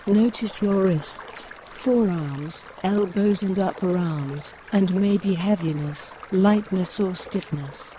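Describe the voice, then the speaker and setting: Slow, mellow female meditation guide. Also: medium pitch, slight background noise, poor audio. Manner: gentle, measured instructions with pauses. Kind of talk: guided mindfulness body scan narration. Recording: running stream water behind voice. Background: trickling woodland stream.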